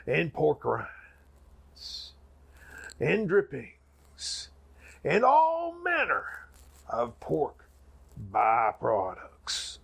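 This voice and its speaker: A man playing a folksy, grandiose backwoods preacher telling a mock sermon in a raspy, gravelly voice with dramatic pauses. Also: mid-range voice, full character voice. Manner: drawn-out, folksy, dramatic pauses and sudden swells.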